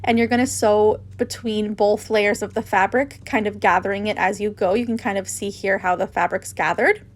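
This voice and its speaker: A woman's voice: medium pitch, fairly even intonation, moderate pace. A woman hosting a craft tutorial, narrating casually with gushing enthusiasm.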